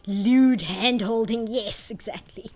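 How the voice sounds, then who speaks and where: Afrikaans-native woman with a South African accent; casual streamer, rambles and self-corrects, laughs a lot, pitched a bit high. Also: slow, darkish tone, faint music behind. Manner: rambling, restarts words, frequent laughs. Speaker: art streamer. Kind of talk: casual live-stream chat, reading chat aloud.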